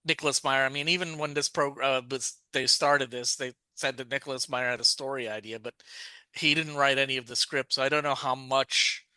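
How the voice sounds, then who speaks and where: A man's voice: medium pitch, fairly even intonation, fairly quick, bright, forceful tone, clear, resonant tone, no echo. A man co-hosting a podcast, chatting casually and rambling through thoughts with many restarts and asides. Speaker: podcast co-host. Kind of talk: casual discussion.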